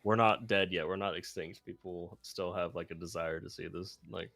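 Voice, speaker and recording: A man with a low voice chats casually on a livestream, recorded dry and close. He speaks as a co-host, feeling his way through sentences in halting pieces padded with filler words. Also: steady tone, fairly bright tone, no room sound. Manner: halting, filler-heavy phrasing with frequent "like". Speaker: streamer and video editor, co-host.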